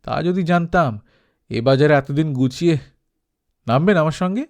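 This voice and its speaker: A man's voice: on the high side, animated, normal speed, a little mellow. A man voicing a friendly, shrewd old broker in a story, frank and persuasive.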